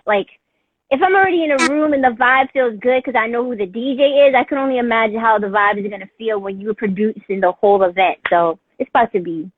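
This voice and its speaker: 30s woman, husky, kinda high, gushing and hyping her guest up, sounds like a phone line. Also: dry recording. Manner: effusive and encouraging, full of fillers. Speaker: interviewer. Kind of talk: casual chat. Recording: tinny phone-like dry sound.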